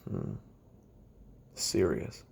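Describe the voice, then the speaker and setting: A man playing a weary, sardonic assassin recording confessional memoirs, halting and deadpan, with a gravelly voice. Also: a little hiss or hum. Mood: dry, sardonic. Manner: halting, deadpan, dryly self-deprecating. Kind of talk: confessional audio roleplay monologue.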